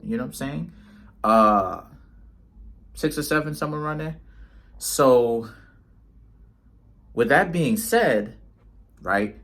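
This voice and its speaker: A man's voice: medium pitch, a bit bright. A man, talking casually to the camera in a rambling way, often tagging his lines with "right?". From Trinidad and Tobago, raised in New York City. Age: 30s. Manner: rambling, often tags lines with "right?" and "you know what I'm saying". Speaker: tarot reader and vlogger.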